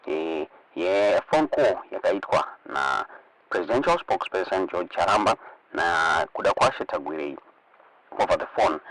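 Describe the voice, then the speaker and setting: A man's voice: deep voice, a bit bright, distant mic, slight background noise, low-quality recording. A Zimbabwean man whose native language is Shona, presenting online news commentary and reading reports aloud.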